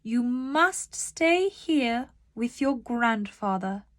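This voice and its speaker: A woman voicing a brisk, firm aunt in a children's storybook, giving instructions deliberately. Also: on the high side, expressive.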